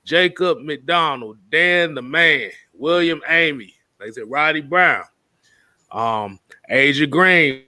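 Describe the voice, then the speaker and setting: A man's voice: fairly high pitch, slow pace, bright, forceful tone, dry recording. A man co-hosting a football fan live stream with casual, slangy, jokey banter and some swearing. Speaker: co-host of a football fan show.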